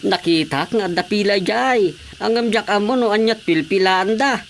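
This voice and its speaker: A woman's voice: medium pitch, normal speed, noisy background, lightly acted. A woman with an Ilocano Filipino accent playing a dead, gossipy village chairman in a radio comedy, chuckling and exclaiming. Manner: chuckling, exclamatory comic delivery.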